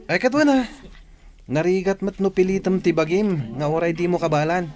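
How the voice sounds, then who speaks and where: Man acting cocky high-voiced young Ilocano guy. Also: normal speed, darkish tone, lightly acted. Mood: concerned. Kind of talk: radio comedy-drama acting.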